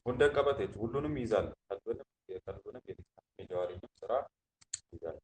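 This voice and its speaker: A man teaching an Islamic creed class. He reads short Arabic phrases from a text, explains them slowly, and checks on his listeners. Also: fairly low pitch, darkish tone, slight background noise. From Ethiopia. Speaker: religious teacher.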